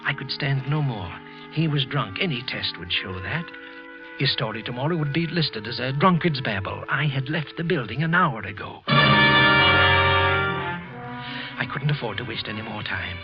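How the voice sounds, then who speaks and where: An actor playing a frightened, guilt-ridden office clerk confessing in a halting 1940s radio suspense narration. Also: male voice, mid-range voice, expressive, over loud music, close to an everyday voice. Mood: anguished confession. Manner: tense, halting, repeating himself. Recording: muffled old radio recording.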